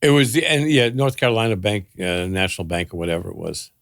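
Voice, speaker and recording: Older male guest, wry and teasing, very lively pitch, close dry recording. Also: fast talker, gravelly, no room sound. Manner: wry, teasing, laughs often. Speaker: guest, host's father. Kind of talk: casual chat.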